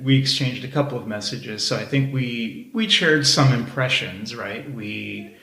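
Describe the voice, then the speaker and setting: A man in his thirties joins a podcast as a guest and speaks calmly in a flat, even monotone. His voice sits at an ordinary pitch, and the room around him is echoey. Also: a little slow, creaky. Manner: calm, monotone. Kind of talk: casual podcast chat.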